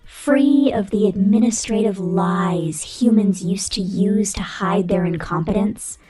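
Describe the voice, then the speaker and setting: A woman voicing a vain, domineering female robot corporate boss who scorns humans, in a condescending, menacing ASMR roleplay with a whispery, deliberate delivery. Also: mid-range voice, dark tone, soft background music, rough recording. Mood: cold, menacing. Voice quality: breathy, whispery. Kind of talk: ASMR roleplay monologue, scripted.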